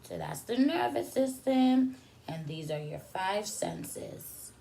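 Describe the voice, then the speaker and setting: A woman's voice: mid-range voice, expressive, warm tone, slight background noise. A woman reading aloud to young children as a preschool teacher, explaining as she goes and posing simple questions. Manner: instructional, asks questions and pauses for answers. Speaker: preschool teacher hosting story time. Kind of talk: read-aloud story time for young children with teaching asides.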